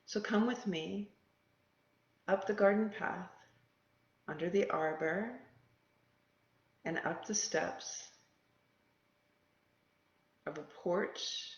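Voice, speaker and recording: Meditation guide, gravelly fry, mid pitch, gentle pausing delivery, slightly dull roomy recording.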